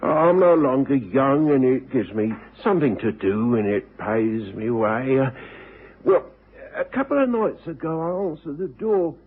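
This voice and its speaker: An older English boarding house keeper in a radio drama. He is folksy and hesitant, with a husky voice that wanders up and down, heard through a tinny old broadcast. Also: mid-range voice, expressive, measured pace.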